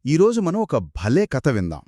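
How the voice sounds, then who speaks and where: A man's voice: a little high, huge pitch swings, normal speed, dark tone, dry recording, studio quality. Man asking eager questions in a story. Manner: curious, prompting questions and reactions. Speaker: co-host. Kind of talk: scripted story dialogue.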